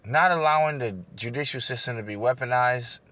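A man's voice: mid-range voice, fairly quick, sharp tone, lo-fi sound. A man giving an emphatic political talk to his online audience, repeating his points and calling listeners "guys". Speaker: political speaker on a broadcast.

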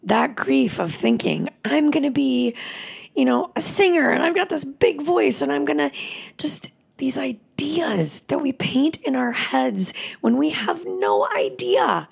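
Yoga teacher, woman, 30s: slow, gravelly and husky, soft and heartfelt, slips into whispers. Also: high-pitched, expressive. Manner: emotional, soft, drops into whispers. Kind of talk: reflective spoken teaching.

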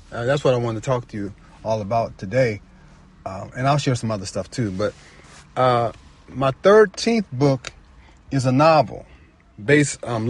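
Reflective man with a slightly husky voice. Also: mid-range voice. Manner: reflective, halting, repeats phrases for emphasis. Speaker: poet and novelist, featured storyteller. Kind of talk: personal storytelling talk, informal.

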